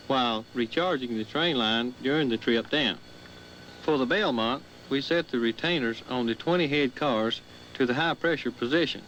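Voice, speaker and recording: A railroad foreman with a medium-pitched male voice narrates instructions. He explains each step in a procedural way, with a faint vehicle rumble behind him. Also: a bit bright, poor audio. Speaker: railroad road foreman of engines.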